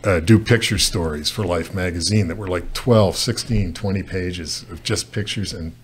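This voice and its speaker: Older canadian guy, really low voice, talks in loops with lots of uh's, slight hum behind. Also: moderate pace. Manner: rambling, frequent restarts and fillers. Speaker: guest photojournalist. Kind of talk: casual interview talk. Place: studio set with brick wall. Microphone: desk mic on stand.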